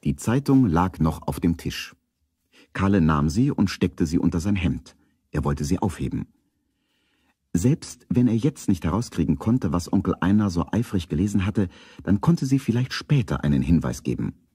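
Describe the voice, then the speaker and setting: A man's voice: deep voice, very animated, normal speed, mellow, breathy edge, no room sound, studio quality, heavily acted. A man narrating a 1970s German children's radio play in a scripted storybook style. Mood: measured storytelling.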